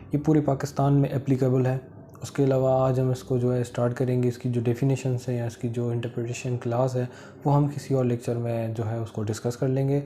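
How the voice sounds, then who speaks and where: A Pakistani man teaches in an informal, explanatory lecturing style. His voice is very dark and soft-edged, sitting at a middle pitch, and he slips English legal terms into what he says. There is some noise behind him. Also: level tone, brisk. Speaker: law lecturer.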